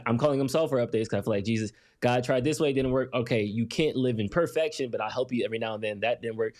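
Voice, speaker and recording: Male co-host, ordinary middle pitch, chatty and rambling. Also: darkish tone. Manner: rambling, explains with examples, many "like" and "um" fillers. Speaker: co-host reading the chat. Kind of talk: casual live-stream talk.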